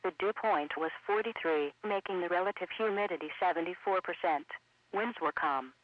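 High synthetic man voice doing weather radio bulletins, impersonal, dry and dull radio audio. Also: dry recording. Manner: synthetic, measured, impersonal delivery. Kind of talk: scripted weather bulletin reading.

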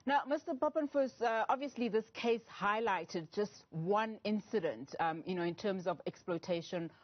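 A young woman, a TV news anchor asking interview questions in a measured, formal way from the studio. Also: mid-range voice, no room sound, muffled recording.

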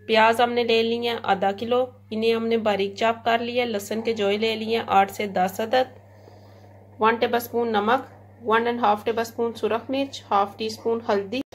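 A woman hosting a cooking channel, calmly listing ingredients and giving step-by-step recipe instructions. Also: a little high. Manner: plain, measured listing of ingredients. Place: home kitchen. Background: frying and stirring sounds.

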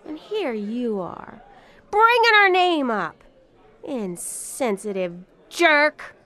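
A brash noir dame from a radio play. Her voice is high and swoops widely as she scolds, sharp-tongued, emphatic and theatrical. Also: sing-song, slow, exaggerated voice. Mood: indignant scolding. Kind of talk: noir radio drama acting.

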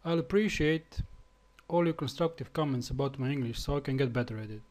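Slovenian man giving a halting tutorial. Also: mid-range voice, darkish tone. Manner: halting, with fillers and restarts. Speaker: web developer. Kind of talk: screencast tutorial.

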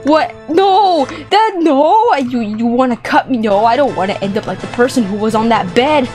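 High-pitched young woman, excitable game commentary. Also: normal speed. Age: young adult. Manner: animated, exclamatory, stutters and repeats words for effect. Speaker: gaming video host. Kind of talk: excited playful gameplay commentary. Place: small indoor room set up for streaming. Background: background music.

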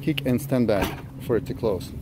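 A man's voice: mid-range voice, noisy background. Russian-accented man in a parked car. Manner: enthusiastic, halting with many fillers. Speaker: car reviewer. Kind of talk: casual car walkaround vlog. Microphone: phone camera at arm's length.

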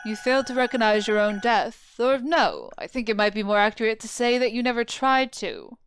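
A woman's voice: on the high side, lively intonation, a little fast, slight background noise, lightly acted. A woman playing a proud, obsessive dead homunculus researcher in a video game, with a formal, theatrical, lecturing manner. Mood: cool, lecturing. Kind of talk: scripted video game voice acting. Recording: music behind the voice.

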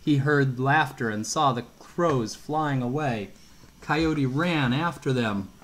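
Unhurried man reading a storybook aloud. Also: medium pitch, a little hiss or hum. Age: middle-aged. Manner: unhurried, expressive, asks questions as he reads. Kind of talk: storybook read-aloud to a young child. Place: home kitchen.

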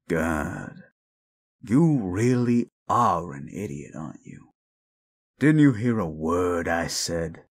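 Casting: male actor for jaded, grieving werewolf in an audio roleplay, slow gravelly delivery, cynical lecturer. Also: low voice, exaggerated voice. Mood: scornful. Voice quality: gruff, gravelly. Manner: cynical, scolding, deliberate storytelling. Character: bitter, grieving, gruff adult male werewolf. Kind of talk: audio roleplay drama acting.

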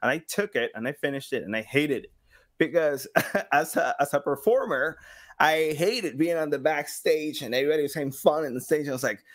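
A man in his thirties from South America, telling stories casually as an interview guest, acting out quotes and pausing to find words. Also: fairly high pitch. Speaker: podcast guest, sound designer. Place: small home room, video call. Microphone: boom-arm microphone with headset.

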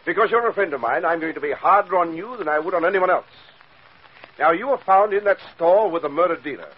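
An actor playing a stern, impatient police commissioner, gruffly interrogating in 1940s radio style. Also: male voice, sits high, fast talker. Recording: dull 1940s radio recording.

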